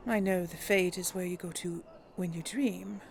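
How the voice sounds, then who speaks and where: 여성이 연기하는 무뚝뚝한 젊은 귀족 여전사. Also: female voice, lowish voice, moderate pace, vocal fry, faint music behind. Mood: deadpan. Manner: terse, deadpan. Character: young noblewoman warrior, blunt.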